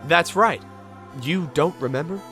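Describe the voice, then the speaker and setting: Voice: mid-range voice, a little slow, a bit bright, faint music behind. An actor playing a bewildered, polite amnesiac spirit with dry wit in a video game fan dub, hesitant and given to exaggerated surprise. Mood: musing narration. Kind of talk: dramatic fan dub with inner monologue.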